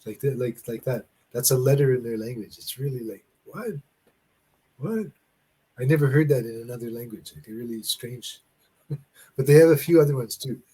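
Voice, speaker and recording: Canadian man, husky, loose rambling talker for a casual online language chat. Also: medium pitch, normal speed, poor audio. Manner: rambling, restarts and laughs, animated storytelling. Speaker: conversation partner. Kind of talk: casual conversation practice. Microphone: video call.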